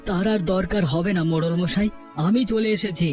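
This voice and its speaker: A man's voice: high voice, moderate pace, darkish tone, soft background music, lightly acted. A man voicing a proud, homeless village husband and father in a cartoon story, earnest and dramatic. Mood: proud, resolute. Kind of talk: Bengali cartoon story acting.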